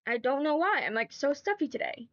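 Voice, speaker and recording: A woman's voice: sits high, very animated, a little fast, fairly bright tone, dull audio. A woman streamer with a stuffy nose from allergies, chatting casually and rambling to her chat. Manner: rambling, chatty, repeats herself.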